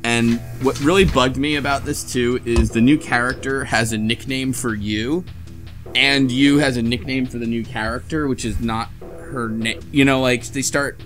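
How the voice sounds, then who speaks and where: Male host, bright tone, loose conversational recap with acted-out lines, light music underneath. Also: medium pitch, steady tone, moderate pace. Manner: acts out imagined dialogue while recapping. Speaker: podcast host. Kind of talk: casual book club chat recapping a story.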